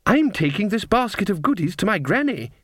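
Man voicing a high-pitched, stubborn little girl. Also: big pitch swings, brisk, fairly bright tone, slightly rough, no room sound, clean studio sound, full character voice. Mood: innocent, curious. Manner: childlike, challenging, insistent. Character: innocent, cheeky, stubbornly doubtful little girl. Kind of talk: audiobook character voice.

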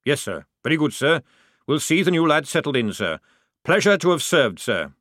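A man voicing a gruff, sardonic old army sergeant in an audiobook, with a barking military manner. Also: on the high side, very animated, normal speed, fairly bright tone, no room sound, clean studio sound, voice pushed up. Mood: stiffly obedient. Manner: barking, sarcastic military speech.

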